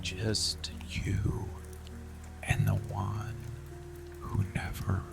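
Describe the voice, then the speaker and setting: A dark, seductive male monster played by a man murmurs in a low, heavily creaking voice over rain and music. He speaks extremely slowly, breathy and often whispering, as a possessive, protective lover in an intimate ASMR roleplay. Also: little pitch movement, heavily acted. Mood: seductive, hushed. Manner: slow, deliberate, coaxing, with long pauses.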